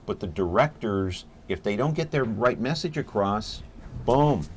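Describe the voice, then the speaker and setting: A man's voice: on the low side, animated, unhurried, slight background noise. A man hosting a local TV talk show, joking and teasing his guests in the studio. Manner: teasing, jokey. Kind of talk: casual studio chat.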